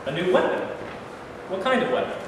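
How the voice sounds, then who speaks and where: A man's voice: high voice, animated, a little fast. A man in a staged reading playing a humble, uneasy newly sworn American president. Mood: brisk, questioning. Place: large room at a live staged reading. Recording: very echoey hall, distant hiss.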